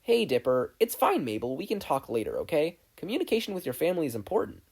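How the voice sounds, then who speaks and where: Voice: medium pitch, very animated, fast, creaky, a little mellow, slightly rough, pitched above the performer's own voice, exaggerated voice. A narrator voicing a kind, easygoing teenage boy in a fan fiction audiobook. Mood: gentle.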